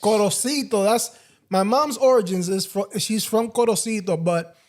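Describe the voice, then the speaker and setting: A young man from the United States co-hosting a relaxed podcast. His voice sits fairly high, and he often trails off and hunts for words while slipping Spanish phrases into his English. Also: normal speed, a little hiss or hum. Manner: searches for words, restarts, mixes in Spanish. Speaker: podcast co-host. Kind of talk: casual chat.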